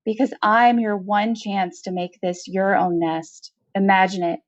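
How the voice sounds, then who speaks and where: A woman's voice: mid-range voice, steady tone, a little slow, lo-fi sound, lightly acted. Woman as a terse, desperate female convict. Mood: urgent, persuasive. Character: desperate, wily female convict bargaining for survival.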